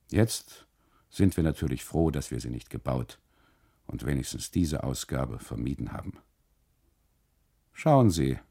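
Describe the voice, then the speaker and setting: Actor as deep-voiced, aggrieved café custodian. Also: male voice, gravelly, exaggerated voice. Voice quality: loud, calling out. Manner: emphatic, self-justifying. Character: limping café custodian, aggrieved, boastful, loudly self-excusing.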